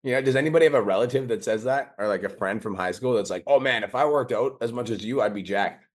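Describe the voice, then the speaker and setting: A raspy, hoarse man hosting a podcast, giving a blunt, profane motivational monologue and mocking excuses in quoted voices. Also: medium pitch, fast. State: hoarse from days of talking. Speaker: podcast host and business coach.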